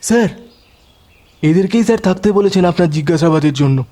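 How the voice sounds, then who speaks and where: A man's voice: high voice, animated, a little fast, warm tone. A man playing a deferential, obliging police constable on guard in an audio story. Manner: respectful, eager to oblige. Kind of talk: Bengali audio story acting.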